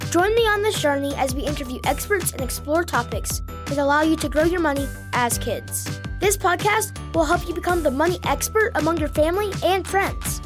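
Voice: sits high, animated, a little fast. A child podcast host reading a scripted promo. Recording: prominent music bed. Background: music.

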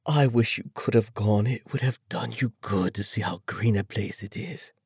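A man voicing a kindly, grieving father in an audiobook, husky and gentle. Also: on the low side, moderate pace, no echo, clean studio sound, full character voice. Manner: husky, gentle, breaking with feeling.

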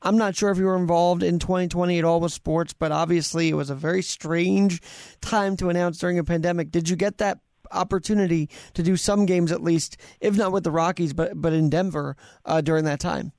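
A man's voice: high-pitched, steady tone, a little fast, creaky, darkish tone, dry recording, clean studio sound. An American man in his twenties, hosting a sports interview with casual, conversational questions. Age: 20s.

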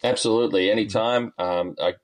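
Guest spot: very low-voiced Australian man, middle-aged, laid-back and chatty, heavy on fillers. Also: a little fast. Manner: easygoing, lots of ums and uhs, chuckles often. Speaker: golf coach and guest. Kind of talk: podcast interview, relaxed storytelling.

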